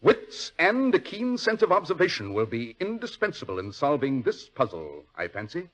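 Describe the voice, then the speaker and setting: An actor playing a keen, self-assured British detective with incisive, clipped delivery in a 1940s radio drama. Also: male voice, on the high side, wildly swooping pitch, moderate pace. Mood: brisk, commanding. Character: keen, brisk, commanding male detective. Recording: dull old radio recording.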